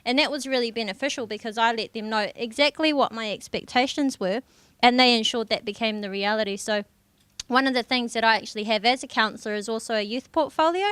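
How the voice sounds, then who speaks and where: A woman's voice: a little high, fast talker, fairly bright tone, clean tone, no room sound. A young woman, speaking earnestly as a councillor on a conference panel. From New Zealand. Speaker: district councillor with youth portfolio. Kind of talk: panel discussion.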